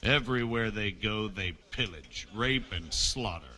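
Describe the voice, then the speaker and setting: An actor playing a stern, world-weary bailiff in a medieval video game, speaking bluntly and with authority in folksy grammar. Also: male voice, fairly low pitch, lively intonation, measured pace, bright, forceful tone, exaggerated voice. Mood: grim, disapproving.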